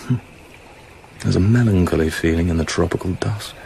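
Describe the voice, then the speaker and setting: Suave British spy, deep gravelly voice, clipped, understated, radio drama, faint noise. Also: male voice, moderate pace, mellow. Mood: brooding. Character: cool, suave British secret agent, terse and brooding.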